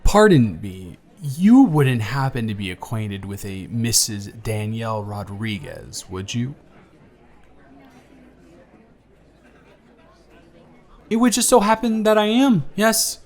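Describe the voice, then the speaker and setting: A man's voice: mid-range voice, big pitch swings, unhurried, few pauses, a little mellow. A man playing a charming, teasing young man on a blind date, chatty and playful in a romantic audio roleplay. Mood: polite, nervous.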